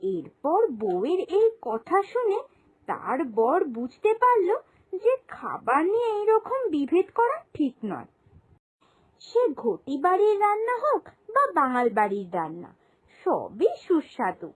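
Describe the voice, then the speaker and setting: A woman's voice: sits high, wildly swooping pitch, unhurried. A woman narrating a scripted moral cartoon story as a storyteller.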